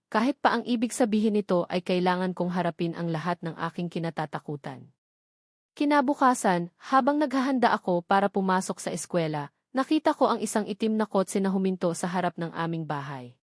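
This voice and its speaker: A woman's voice: mid-range voice, animated, a little fast, no room sound, clean studio sound. A woman reading a scripted dramatic love story as a narrator.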